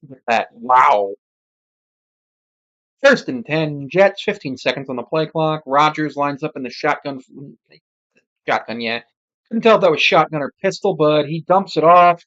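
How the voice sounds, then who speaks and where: An amateur commentator giving casual live football play-by-play, with muttered asides and self-corrections, sex not clear from the voice. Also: medium pitch, a little slow, fairly bright tone, muffled recording.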